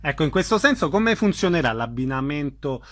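A man's voice: a little high, very animated, a little fast, a bit bright. A man conducting a radio interview, putting questions and summing up for listeners.

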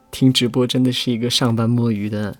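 A man's voice: medium pitch, a bit bright. A man in casual livestream chat, easygoing, often repeating short phrases.

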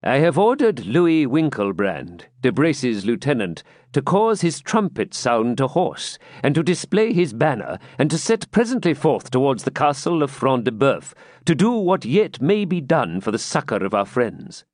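Shrewd medieval courtier for a storybook, male reader: controlled, formal archaic diction, expressive pitch, very dry sound. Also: normal speed, few pauses, dry recording, natural voice. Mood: businesslike.

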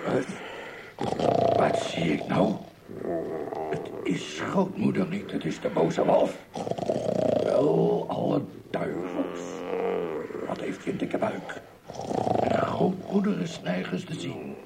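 Man playing a deep, gravelly kindly huntsman. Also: wildly swooping pitch, slow pace, pauses often, heavy background noise, heavily acted. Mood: concerned, surprised. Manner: musing aloud, avuncular. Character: kindly, practical grown-up huntsman. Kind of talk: fairy tale radio play acting.

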